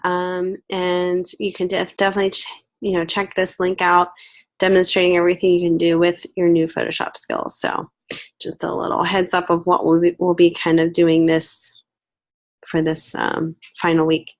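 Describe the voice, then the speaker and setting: A woman's voice: on the low side, monotone, moderate pace. A woman teaching a recorded online course, a bit nasal from a head cold, chatty and reassuring with many fillers. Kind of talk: recorded lecture.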